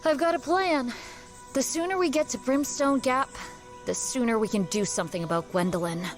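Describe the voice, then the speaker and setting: Actress doing tough tired cowgirl, american accent, cool n laconic, higher pitch, western radio play. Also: normal speed, faint music behind, lightly acted. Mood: determined. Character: tough, weary, resolute Old West woman wanderer. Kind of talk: audio drama acting.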